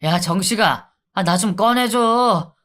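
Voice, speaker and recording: A nasty young jailbird voiced by a man who mocks and then whines, pitched well above the narrator's own voice and pushed louder. The tone is bright and sharp, and his sentences trail into long pleading "ah"s. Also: heavily acted. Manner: taunting, then whining and begging with drawn-out "ah"s. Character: sneering, wheedling male convict in withdrawal.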